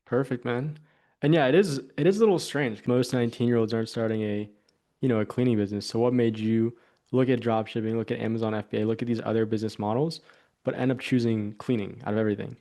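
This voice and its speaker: Guy hosting an interview, talks fast, upbeat, keeps it moving. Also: mid-range voice, mellow. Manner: upbeat, quick prompts and affirmations. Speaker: interview host. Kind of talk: casual interview.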